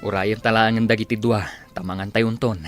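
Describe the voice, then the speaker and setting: A man playing a calm, discreet young gentleman in an Ilocano radio drama, quiet and matter-of-fact. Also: lowish voice, a little fast, soft background music, close to an everyday voice. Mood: calm confiding.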